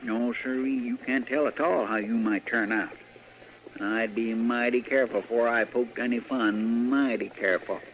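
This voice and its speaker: A man playing a kindly, gently scolding frontier deputy with a rural American drawl in an old-time radio Western. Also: medium pitch, steady tone, moderate pace, close to an everyday voice. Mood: teasing, cautioning. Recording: dull, faintly noisy old radio recording.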